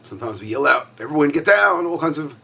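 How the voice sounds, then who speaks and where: A hoarse man teaching a weekly Torah lesson as a rabbi, talking conversationally with frequent fillers and restarts. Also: on the high side, big pitch swings, fast, pushed and bright.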